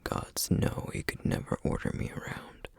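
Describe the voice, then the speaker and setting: A man playing a solemn, weary, duty-bound flame demon in an ASMR roleplay, whispering breathily and haltingly. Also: dry recording, studio quality, natural voice. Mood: quiet, hesitant. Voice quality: breathy, husky whisper with gravelly edges. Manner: slow, halting, measured delivery with heavy pauses. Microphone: close microphone, dry sound.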